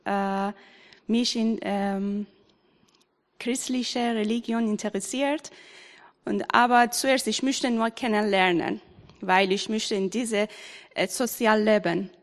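A woman, giving a halting personal testimony in church. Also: fairly high pitch. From Iran. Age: 40s. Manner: halting, searching for words with many fillers. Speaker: baptism candidate. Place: church hall. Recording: reverberant church hall.

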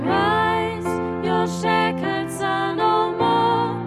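A woman singing a worship song slowly, with the band behind her. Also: very high-pitched, fairly even intonation. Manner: slow sustained singing. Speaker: worship singer. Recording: reverberant church hall with music. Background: worship band music.

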